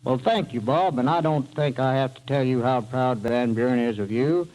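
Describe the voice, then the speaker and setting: A mid-pitched man speaks as a small-town mayor guesting on a radio show. His delivery is courteous and plain-spoken, in prepared banter. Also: normal speed, darkish tone, rough recording.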